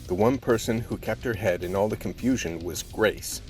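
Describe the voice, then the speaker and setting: An actor playing a weary, blunt, hard-boiled cop narrating a gritty ordeal in a scripted radio play. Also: male voice, fairly low pitch, moderate pace, close to an everyday voice. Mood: grim. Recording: loud music under the voice.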